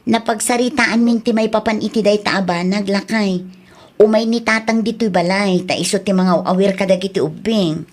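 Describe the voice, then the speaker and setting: A woman's voice: mid-range voice, steady tone, moderate pace, lightly acted. A woman with an Ilocano accent acting a practical, affectionate young wife and mother in an audio drama. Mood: earnest, coaxing.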